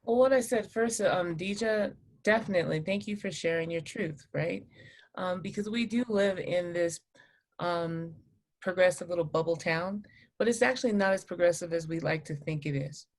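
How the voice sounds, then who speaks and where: A woman's voice: fairly low pitch, moderate pace. Earnest, emphatic woman in panel discussion. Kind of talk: spoken reflection in a live online discussion. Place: video call webinar.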